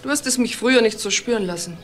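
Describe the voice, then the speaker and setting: A woman's voice: on the high side, quick pace, echoey room, faint music behind, close to an everyday voice. A woman playing a suspicious, hurt wife and boss in a crime drama, controlled and bitter. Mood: accusing, hurt. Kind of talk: dramatic dialogue acting.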